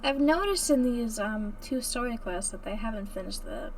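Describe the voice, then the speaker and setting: A woman's voice: fairly high pitch, animated, moderate pace, slight background noise. A woman giving casual, hesitant commentary as she plays through a game and reads some dialogue aloud. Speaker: gaming video creator.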